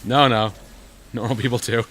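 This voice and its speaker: A man with a mid-pitched voice hosts a gameplay video. He talks casually with dry sarcasm over clear background noise. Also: fairly even intonation, normal speed, a bit bright. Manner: wry, sarcastic. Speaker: video game let's play host.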